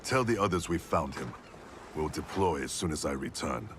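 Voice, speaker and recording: A man voicing a stoic warrior leader in a game cutscene, measured and commanding. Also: rumbling low, animated, noisy background. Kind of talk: video game cutscene acting. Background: game score music.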